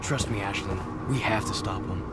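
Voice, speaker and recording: A man's voice: on the low side, moderate pace, background noise, close to an everyday voice. Man as a terse, brooding young hero. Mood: urgent. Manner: dry, blunt. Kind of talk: video game acting.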